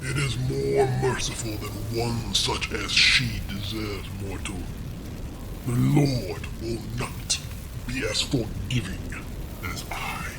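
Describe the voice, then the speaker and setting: An actor playing a towering undead holy warrior in an audio drama, solemn and zealous, with grave, archaic, deliberate speech. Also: male voice, lowish voice, creaky, a loud crowd, rough recording. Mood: cold threatening. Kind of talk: urban fantasy audio drama acting.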